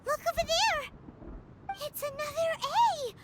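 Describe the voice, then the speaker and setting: A woman voicing a tiny, chatty female fairy companion in a game, with a squeaky, bubbly way of talking. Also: expressive, takes it slowly, crowd noise, heavily acted. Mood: curious.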